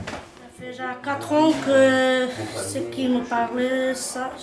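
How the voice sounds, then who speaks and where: A woman, a resident, chatting haltingly about the project. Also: high-pitched, little pitch movement, a crowd in the background. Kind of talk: casual chat. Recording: echoey room from far off, music over.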